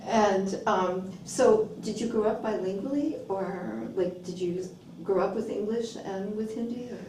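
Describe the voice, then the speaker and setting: A woman film critic leads an interview, asking curious questions and affirming answers often. Her voice is mellow and mid-pitched. The recording is echoey and distant, with faint background hiss. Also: measured pace. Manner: curious, warm, frequent affirmations. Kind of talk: interview conversation. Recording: roomy, distant, faint noise.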